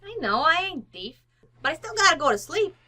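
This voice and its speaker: A woman's voice: very high voice, sing-song, a little fast, pushed and bright, full character voice. A woman playing a breezy, blunt, slangy housekeeper who loves betting, in an old-time radio comedy. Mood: stubbornly cheerful. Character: brash, uninhibited, horse-betting housekeeper, woman.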